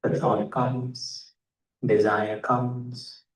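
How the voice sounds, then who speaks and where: Slow, even-toned man guiding meditation, echoey room. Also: mid-range voice. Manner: measured, pausing between short phrases. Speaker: meditation teacher. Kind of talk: guided meditation instruction. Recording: roomy echo, distant microphone.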